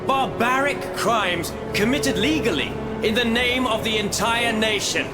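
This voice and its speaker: A fervent revolutionary speechmaker in a dramatic video game. His voice is extremely high for a man and declamatory, with formal phrasing. Loud music surges underneath. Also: unhurried. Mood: impassioned. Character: impassioned revolutionary orator denouncing tyranny. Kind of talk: dramatic political oratory in video game cutscene.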